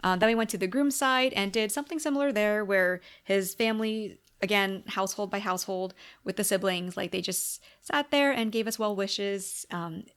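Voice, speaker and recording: A woman's voice: medium pitch, moderate pace, hardly any pauses, fairly bright tone. Young US woman, casual vlogger, mid-range voice, chatty and filler-heavy. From United States. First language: English. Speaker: tarot YouTuber. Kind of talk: casual sit-down video diary. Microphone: camera mic at a sit-down chat.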